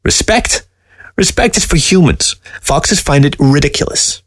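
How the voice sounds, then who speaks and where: A sly storybook fox acted out by a man, high-pitched and taunting. It needles its listener with folksy, sardonic teasing, in a dry, close recording. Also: expressive, measured pace, slightly rough, dry recording. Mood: scornful. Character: sardonic, taunting dead fox, talking to its taxidermist.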